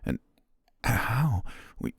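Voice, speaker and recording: A man voicing a dying young butler, loyal and tender, who speaks in hushed, halting words broken by weak breathy laughs as his strength fades, recorded on a close mic for an ASMR roleplay. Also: dry recording. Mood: stunned, hurt. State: fading, short of strength. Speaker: voice actor.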